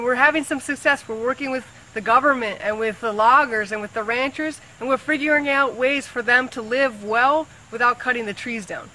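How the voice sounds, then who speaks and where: Conservationist woman, bright and a touch high, earnest and simple for kids, restarts a lot. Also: rough recording. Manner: earnest, repeats words and restarts while thinking aloud. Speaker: rainforest conservationist answering pupils' questions. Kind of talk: simple explaining to young schoolchildren.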